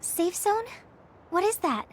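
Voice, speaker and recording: A woman's voice: very high-pitched, very animated, takes it slowly, slight background noise, exaggerated voice. An actress playing an earnest young female cadet in a video game, politely questioning what she hears. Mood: startled.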